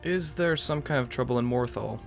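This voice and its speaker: A man's voice: mid-range voice, a little fast, gravelly, music in the background. A man giving casual, rambling commentary over a roleplay game playthrough in a wry manner. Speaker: gaming YouTuber.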